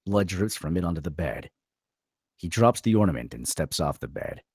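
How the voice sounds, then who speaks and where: A man's voice: low voice, expressive, normal speed, a little mellow, breathy edge, no echo, studio quality. A man reading screenplay stage directions as dramatic scripted narration.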